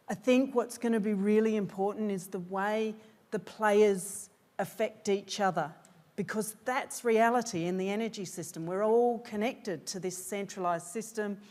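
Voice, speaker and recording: A woman's voice: medium pitch, a little mellow. A woman answering questions from a judging panel about her venture, speaking with many fillers and restarts. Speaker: pitching founder. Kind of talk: pitch Q&A answers.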